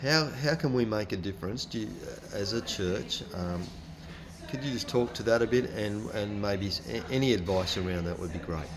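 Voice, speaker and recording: A man's voice: on the low side, moderate pace, background noise. A man with an Australian accent hosting at church, putting unscripted questions to a guest in a hesitant, searching way. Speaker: church host interviewing a guest pastor. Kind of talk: unscripted live interview questions.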